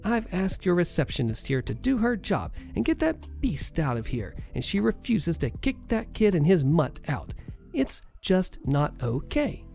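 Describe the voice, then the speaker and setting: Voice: big pitch swings, measured pace, creaky, dark tone, faint music behind. A storyteller voicing a rude, entitled middle-aged woman patient who screeches and sneers. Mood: indignant. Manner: shrill, screeching and scornful.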